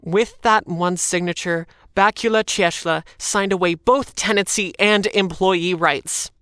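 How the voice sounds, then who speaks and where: A woman playing a wry, defiant working-class heroine, telling her own story deliberately in an audio drama. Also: lowish voice, fairly even intonation, a bit bright, smooth, dry recording, studio quality, faint music behind. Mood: bitter, wry. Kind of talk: first-person audio drama narration.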